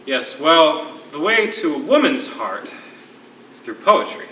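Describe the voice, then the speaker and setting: Man acting an eloquent, bright-voiced poet prisoner on stage. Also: fairly high pitch, very animated, full character voice. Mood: tender, nostalgic. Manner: eloquent, theatrical, measured storytelling. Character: lyrical, wistful former poetry teacher, a political prisoner. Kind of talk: live one-act stage play. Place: theatre stage, recorded from a distance. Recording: echoey, distant stage recording with faint noise.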